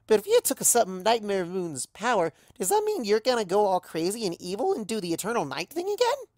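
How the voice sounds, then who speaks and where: A man voicing an excitable, bubbly female pony in an exclamatory, breathless way. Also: high-pitched, quick pace, no room sound, studio quality, pitched above the performer's own voice. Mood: alarmed.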